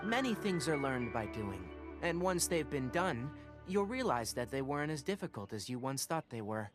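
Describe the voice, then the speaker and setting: A man playing a calm, pragmatic young forest ranger and healer in a video game, speaking in a measured, instructive way. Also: medium pitch, lightly acted. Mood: reassuring. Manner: matter-of-fact, instructive, measured. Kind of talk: English video game voice acting. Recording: music behind the voice.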